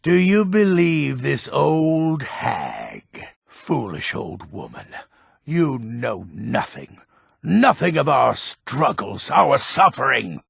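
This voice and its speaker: A proud, cranky old Nordic townsman from a fantasy game. His voice is deeply gravelled and very husky, swinging widely in pitch. He talks in a gruff, blunt, folksy way. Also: very animated, takes it slowly, fairly bright tone, no echo, studio quality, full character voice. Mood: scornful, angry. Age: older. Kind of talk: fantasy video game character acting.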